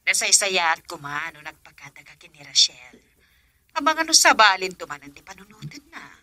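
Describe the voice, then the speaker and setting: A woman's voice: mid-range voice, big pitch swings, normal speed, pushed and bright. An actress playing a stern, worried middle-aged mother scolding her son, in Ilocano radio drama style with an Ilocano accent. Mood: probing.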